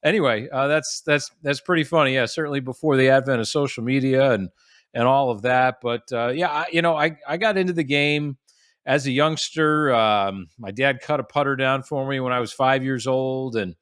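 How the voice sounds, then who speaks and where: A man's voice: medium pitch, normal speed, a bit bright, clean tone, no echo, clean studio sound. A man in his forties from the United States, a golf professional telling stories as a podcast guest, with lots of restarts and fillers. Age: 40s. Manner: chatty storyteller with frequent restarts and fillers. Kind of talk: casual podcast interview.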